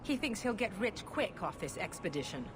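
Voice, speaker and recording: A woman's voice: mid-range voice, very animated, moderate pace, over music, close to an everyday voice. An actress playing a blunt, exasperated dwarf woman in a video game. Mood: scornful.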